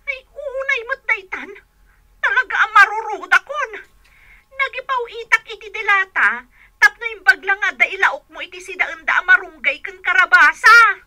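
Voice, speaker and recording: Emotional big sister in ilocano radio drama, ilocano accent, high n super bright voice. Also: female voice, moderate pace, slight background noise, full character voice. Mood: upset, tearful. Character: older sister working abroad, emotional and generous.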